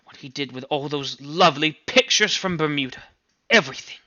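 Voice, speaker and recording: A man's voice: on the high side, animated, fairly quick, sharp tone, dry recording, lo-fi sound. A man voicing an anxious, overwrought middle-aged mother in an audiobook story, fretful and emphatic as she scolds. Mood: fretful, gossipy.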